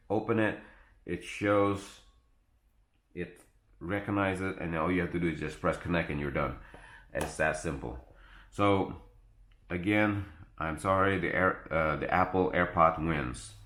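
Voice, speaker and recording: A man giving a casual, unscripted gadget review, explaining things in a halting, self-correcting way. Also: sits low, a bit bright. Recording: echoey, roomy sound.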